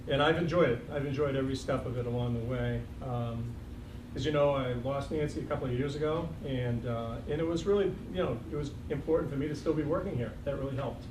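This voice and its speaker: A man's voice: medium pitch, quick pace. A man in his sixties with a slight Boston accent gives a reflective, lightly joking farewell speech as a school superintendent, with frequent ums. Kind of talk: farewell speech at a board meeting. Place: school board meeting room. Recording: echoey, distant, noisy room recording.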